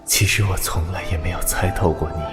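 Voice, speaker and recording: An actor playing a lovesick young immortal prince, tender and wistful, in a Chinese audio drama. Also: male voice, deep voice, fairly even intonation, a little fast, natural voice. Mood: pained. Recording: echoey, with loud background music.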